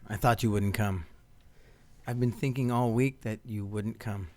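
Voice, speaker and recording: An actor in a radio play playing a tender, persistent married doctor in love, earnest and pleading in manner. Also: male voice, on the low side, steady tone, unhurried, darkish tone, natural voice. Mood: polite.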